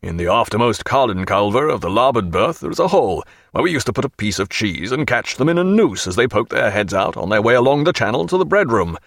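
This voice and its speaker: A man's voice: mid-range voice, very animated, fast, gravelly, hardly any pauses, fairly bright tone, no echo, clean studio sound, heavily acted. A man voicing a genial, hearty naval captain with a bluff, courteous, old-fashioned way of talking, in an audiobook. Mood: genial, reminiscing.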